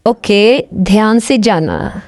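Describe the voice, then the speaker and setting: A young university student and friendly confidante, played by a woman in an audio drama. She talks casually and very slowly, drawing words out, in a dry recording. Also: mid-range voice, darkish tone, no room sound, lightly acted. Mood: concerned. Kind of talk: audio drama roleplay dialogue.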